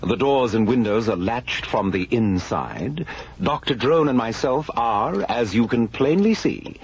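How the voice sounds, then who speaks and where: A man playing a pompous, self-assured detective in a radio drama, theatrical and deliberate in his delivery. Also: medium pitch, lively intonation. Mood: smug, deliberate. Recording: muffled, hissy radio recording.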